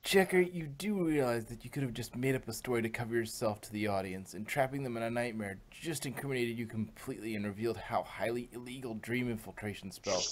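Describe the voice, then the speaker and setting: A man voicing a soft-spoken, earnest and remorseful male pony in a story reading. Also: mid-range voice, fast, hardly any pauses, lightly acted. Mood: dry, matter-of-fact.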